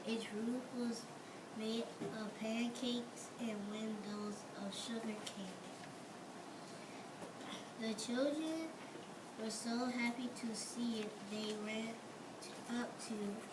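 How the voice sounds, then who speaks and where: A female reader narrating a fairy tale aloud in a halting way, stumbling over and repeating words. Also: on the high side, steady tone, very noisy. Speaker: storybook reader.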